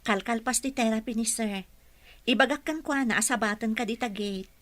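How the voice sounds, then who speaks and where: A woman's voice: on the high side, normal speed, a bit bright, natural voice. A woman acting in a radio drama as a friendly, earnest young nurse and therapist. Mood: friendly, casual.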